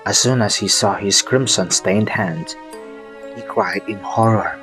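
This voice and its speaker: A man's voice: fairly low pitch, measured pace, a bit bright. A man playing a traumatized survivor who narrates a horror story in a scripted audio drama. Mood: grim recounting. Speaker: first-person narrator. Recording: music behind the voice. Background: music.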